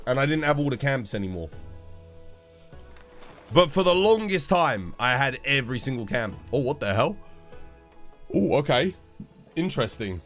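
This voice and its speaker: A man's voice: mid-range voice, sing-song, moderate pace, long pauses, fairly bright tone, faint music behind. A man, stuffed up with a cold, casually chatting and answering viewers while streaming a game. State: congested, stuffed-up nose. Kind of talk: casual live-stream chat.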